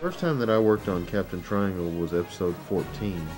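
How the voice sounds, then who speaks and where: A man's voice: low voice, lively intonation, moderate pace, over music. A middle-aged man casually recalling his amateur acting in an interview. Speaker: former amateur actor being interviewed.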